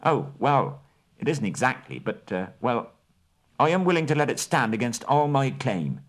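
A man voicing a small, shrewd male hobbit who talks in a brisk, businesslike, genteel way. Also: medium pitch, very animated, voice pushed up, close to an everyday voice. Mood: flustered.

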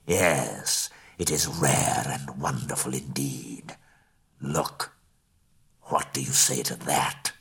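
A man voicing an ancient boastful dragon who speaks slowly and grandly with a husky voice. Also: rumbling low, fairly bright tone, pitched below the performer's own voice, exaggerated voice. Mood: proud. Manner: slow grand boasting, drawn-out words. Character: boastful ancient male dragon, slow menacing.

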